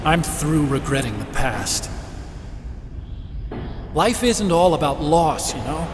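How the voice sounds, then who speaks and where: A man's voice: fairly high pitch, big pitch swings, slow pace, noisy background. An actor playing an earnest, sensitive, idealistic young male scientist in a video game, with a heartfelt delivery. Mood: quiet resolve. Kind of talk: dramatic video game voice acting. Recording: loud music behind.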